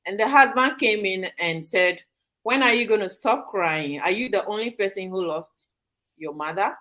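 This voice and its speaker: A woman hosting a live online talk show, speaking in a measured way with frequent pauses and fillers. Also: medium pitch, a bit bright. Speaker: host. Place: studio or home in Sydney, Australia.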